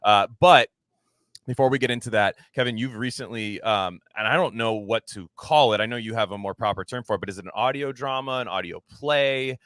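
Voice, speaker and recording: A man's voice: mid-range voice, a little fast, a bit bright, clear, resonant tone, no echo, studio quality. A man hosting a podcast, talking casually in an informal, meandering way. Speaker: podcast host.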